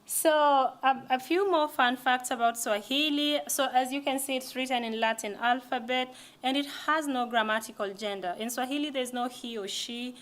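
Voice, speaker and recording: A woman's voice: sits high, steady tone, normal speed, hardly any pauses, fairly bright tone. A young woman giving a casual library lecture, talking conversationally with frequent restarts. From East Africa. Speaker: language presenter.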